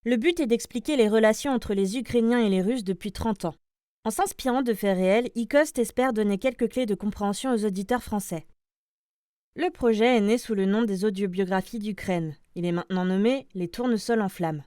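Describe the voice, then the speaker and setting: A woman's voice: medium pitch, moderate pace, mellow, no echo, studio quality. A woman reading scripted podcast news as presenter, addressing listeners like an announcer. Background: light music.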